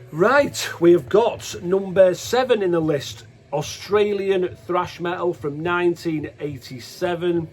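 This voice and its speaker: A man giving casual, unscripted show-and-tell commentary as a host, in a hesitant, matter-of-fact way, often correcting himself. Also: on the high side. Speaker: host of a music collection video.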